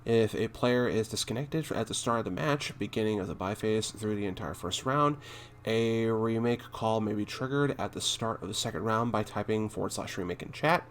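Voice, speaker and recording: A man doing casual commentary as he reads game notes aloud, halting and thinking out loud, with a blunt streak. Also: on the low side. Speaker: gaming content creator and streamer.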